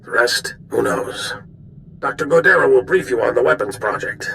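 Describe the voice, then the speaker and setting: An actor playing a grave, businesslike military general in a video game, with formal, clipped briefing delivery. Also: male voice, medium pitch, moderate pace, vocal fry, fairly bright tone, a little hiss or hum. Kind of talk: video game cutscene acting.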